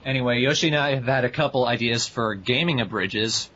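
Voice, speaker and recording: A man's voice: medium pitch, brisk, pushed and bright. A young man co-hosting a casual podcast, laid-back and dry, often laughing along. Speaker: podcast co-host. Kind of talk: casual chat. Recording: dull lo-fi recording, faint noise.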